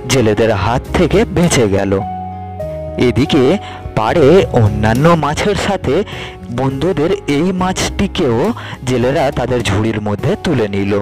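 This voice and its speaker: A man's voice: mid-range voice, a little mellow. A man narrating a scripted children's fairy tale as a storyteller.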